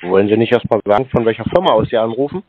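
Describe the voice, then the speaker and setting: A man's voice: fairly low pitch, lively intonation, very fast, highs cut off, slight background noise. A man, answering scam callers with dry sarcasm and mockery. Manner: sarcastic, mocking. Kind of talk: casual phone chat.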